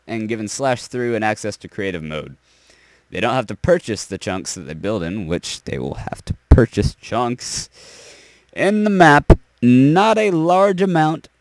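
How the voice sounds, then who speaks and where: A young man losing his voice, giving a rambling, halting explanation of server ranks with lots of fillers and restarts. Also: on the low side, darkish tone, no room sound. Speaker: server owner.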